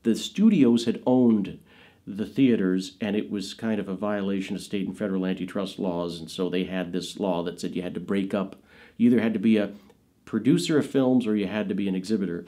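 A man's voice: low-pitched, steady tone, brisk, mellow. An American man in his fifties telling anecdotes in an interview, listing things with emphasis. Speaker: interviewee, actor and filmmaker. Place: indoors, in front of dark curtains.

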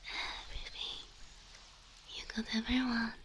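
A woman voicing a gentle, devoted, insecure cow girl girlfriend in soft, halting, intimate ASMR roleplay, often whispering. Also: a little high, level tone. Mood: tender, soothing.

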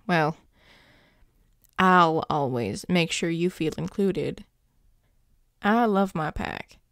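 A woman playing a grumpy but devoted male werewolf boyfriend, speaking intimately to the listener in a gruff, tender, halting way. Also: mid-range voice, no echo, studio quality. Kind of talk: intimate audio roleplay, spoken to the listener.

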